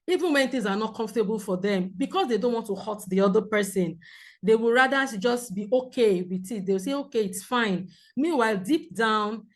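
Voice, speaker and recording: A woman counsellor with a loud voice, teaching a group in a clear, measured way with examples. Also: on the high side. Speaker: premarital counsellor. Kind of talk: teaching a seminar talk.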